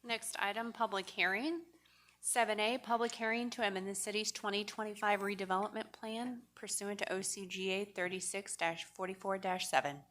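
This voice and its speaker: A woman mayor chairing a city council meeting, moving through agenda items with brisk, formal procedural wording. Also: mid-range voice, steady tone. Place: council chamber. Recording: loud background noise, clicks.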